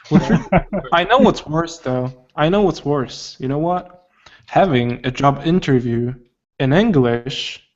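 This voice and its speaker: A man's voice: fairly high pitch, lively intonation, slow, dull audio. A man co-hosting a casual chat, laid-back and encouraging.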